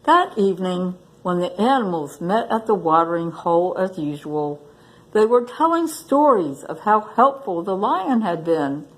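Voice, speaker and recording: A woman's voice: lowish voice, sing-song, measured pace, rough recording. A woman reading a children's storybook aloud as a library story reader, expressively addressing young listeners.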